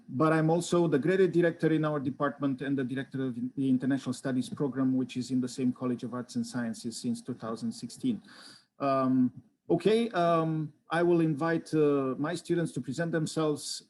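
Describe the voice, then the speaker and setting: A man from Romania, an associate professor, introducing himself from home on a video call in a measured way with frequent fillers. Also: medium pitch, a little mellow, a little hiss or hum.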